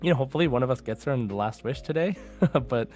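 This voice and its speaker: A man's voice: mid-range voice, animated, quick pace, darkish tone, soft background music. A man hosting a casual gaming podcast, talking in an enthusiastic, rambling way. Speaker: podcast host.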